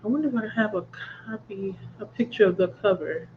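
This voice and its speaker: A woman's voice: mid-range voice, a little fast, phone-quality sound, a little hiss or hum. A congested woman chatting casually as host of a reading livestream and laughing at her own jokes. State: congested from a cold. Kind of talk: casual chat. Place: at home.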